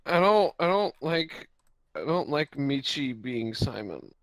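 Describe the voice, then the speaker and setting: A man co-hosting a stream with casual banter, halting and incredulous, often swearing. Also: medium pitch, sing-song, a bit bright, no echo. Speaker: co-host commenting on a reading.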